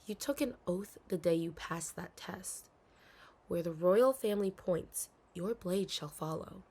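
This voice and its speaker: A woman's voice: on the low side, measured pace, dark tone, husky. A woman playing a dutiful, anxious young princess in an intimate audio roleplay, speaking formally with hesitations and sighs. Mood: resigned, guarded. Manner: formal storybook diction, hesitant, sighing.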